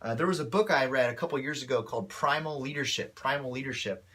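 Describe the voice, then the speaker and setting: Bright-voiced man, slightly off from a cold. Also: mid-range voice, quick pace. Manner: upbeat coaching tone, often checks in with "right?". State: voice a little off from a cold. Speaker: martial arts instructor. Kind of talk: casual instructional talk to camera.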